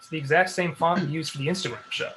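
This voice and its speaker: Guy playing deadpan show staffer, high sharp voice, needling comedy read, bit of noise on the line. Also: fast talker, close to an everyday voice. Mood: dry, needling. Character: dry, unimpressed thirty-two-year-old male show staffer. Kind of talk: comedy script table read.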